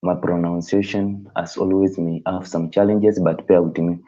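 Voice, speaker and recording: A man whose first language is not English, hosting a live stream in casual, halting talk that rephrases as he thinks aloud. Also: low voice, flat delivery, warm tone, dull audio.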